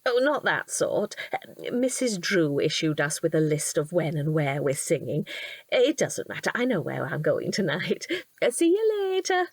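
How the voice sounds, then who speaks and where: An actress with a British accent playing a chatty, kindly, trusting wife of a police detective in an audio drama. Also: female voice, low-pitched, moderate pace, slightly rough, no echo, full character voice. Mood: mildly put out. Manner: chatty, gushing, expressive. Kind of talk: naturalistic audio drama acting.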